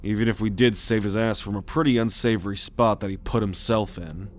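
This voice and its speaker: A man acting a roguish, protective alien scout captain in a sci-fi ASMR roleplay, measured and authoritative as he gives orders. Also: on the low side, fairly even intonation, a little hiss or hum, close to an everyday voice. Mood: gruff, resolute.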